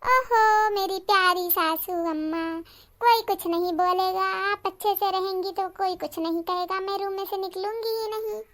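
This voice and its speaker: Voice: very high voice, steady tone, normal speed, fairly bright tone. A performer voicing a spoiled young daughter-in-law in a cartoon, sweet-talking, cheeky and self-assured. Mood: sugary defiant. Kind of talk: cartoon character dialogue.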